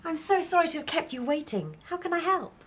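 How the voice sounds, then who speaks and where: A woman's voice: extremely high pitch, expressive, brisk. An actress playing a polite eighteen-year-old young woman in a radio drama. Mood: polite, breezy. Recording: clearly noisy background.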